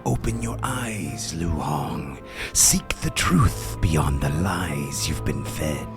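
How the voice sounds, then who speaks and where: A man acting a wise, serene old monk master in a kung-fu radio play, speaking in a measured, hushed, solemn way. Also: extremely low pitch, animated, heavily acted. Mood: solemn urging. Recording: loud music behind. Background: music.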